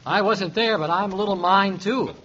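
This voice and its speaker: A man's voice: high voice, moderate pace, highs cut off. A man performing scripted banter on a 1940s radio variety show. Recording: old 1940s radio broadcast.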